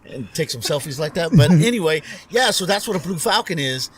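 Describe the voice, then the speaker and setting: A man's voice: on the high side, animated, fairly quick, a loud crowd. A middle-aged man, an Army veteran, talking earnestly and rambling with fillers as a podcast guest on a patio. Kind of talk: casual podcast conversation. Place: covered patio with string lights at night.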